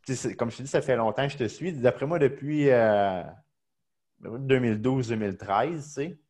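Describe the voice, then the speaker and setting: A man's voice: medium pitch, moderate pace, darkish tone. A man hosting a casual podcast, eager and chatty with many fillers. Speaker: podcast host.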